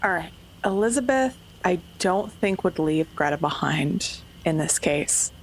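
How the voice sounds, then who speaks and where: A woman's voice: on the low side, animated, a little slow, slight background noise, low-quality recording. A woman playing a tabletop roleplaying game, casually narrating what her character does. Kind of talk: casual third-person narration of character actions.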